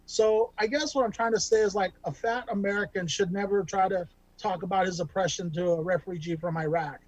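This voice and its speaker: High-voiced man doing rambling stand-up. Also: steady tone, brisk, no room sound, low-quality recording. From Bakersfield, California. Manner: rambling, self-deprecating, many filler ums and restarts. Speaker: stand-up comic. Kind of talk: stand-up set over video call. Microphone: video call.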